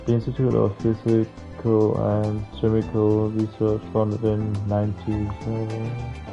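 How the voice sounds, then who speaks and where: A man casually reading a visual novel's text aloud as a playthrough host, halting at times and adding offhand asides. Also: fairly low pitch, completely flat delivery, lo-fi sound. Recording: game music behind the voice. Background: game music.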